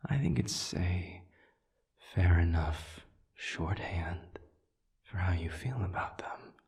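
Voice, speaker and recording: A man playing a suave, patient demon in an audio roleplay, speaking in a breathy half-whisper with measured, formal, soothing phrasing. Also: deep voice, lively intonation, a little mellow, full character voice. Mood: gently probing. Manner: measured, deliberate, formal phrasing with long pauses. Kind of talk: audio roleplay, intimate one-sided dialogue.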